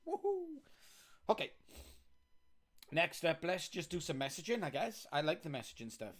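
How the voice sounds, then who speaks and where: A man's voice: on the high side, wildly swooping pitch, normal speed. A man with a Welsh accent live-streaming a coding game, chatting casually as he thinks aloud and reads on-screen text.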